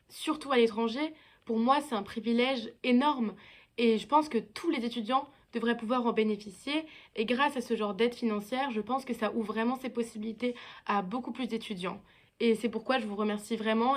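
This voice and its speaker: A woman in her early twenties from France records a thank-you message as a scholarship student. Her voice sits a little high, and she speaks earnestly and gratefully, with occasional hesitant fillers. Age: 20s.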